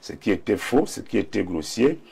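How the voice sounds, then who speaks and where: A husky, low-pitched man with a Cameroonian accent speaks as a panelist in a debate. His voice is thickened by a slight cold, and he stresses his points by repeating words. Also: big pitch swings, normal speed, dark tone. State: slight cold, throat clearing. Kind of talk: live debate commentary.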